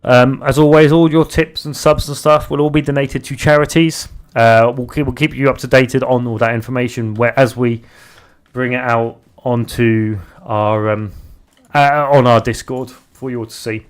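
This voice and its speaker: Young British male streamer, mid-pitched, loose rambling chat with frequent restarts. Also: fairly even intonation, clean tone. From Britain. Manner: rambling, halting, restarts often while reading viewer chat aloud. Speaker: live streamer and game developer. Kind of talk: casual live stream chat.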